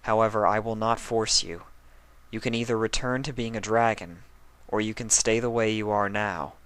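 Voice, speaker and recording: A man voicing a stern but caring pony princess of the night who talks softly and gravely. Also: on the low side, fairly even intonation, moderate pace, no room sound, voice pushed up, lightly acted.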